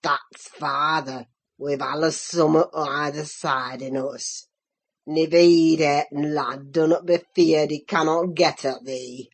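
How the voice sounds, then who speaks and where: A woman voicing a spiteful, gloating old male servant with a broad Yorkshire dialect and a croaking voice. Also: low-pitched, steady tone, slow, voice pushed down, exaggerated voice. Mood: mocking. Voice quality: croaking, gravelly.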